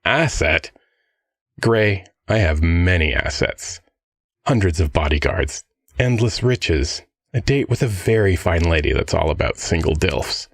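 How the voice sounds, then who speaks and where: A man playing a swaggering, smug billionaire crime-boss father in an audio drama, with a husky, drawling, mock-affectionate delivery. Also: low-pitched, expressive, measured pace, heavily acted. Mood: amused, taunting.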